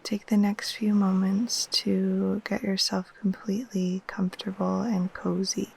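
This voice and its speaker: Very slow, soft-spoken woman guiding sleep meditation. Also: medium pitch. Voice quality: soft, breathy, half-whispered. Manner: soothing, gentle, unhurried with long pauses. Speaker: yoga nidra guide. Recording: faint steady wash of ocean waves.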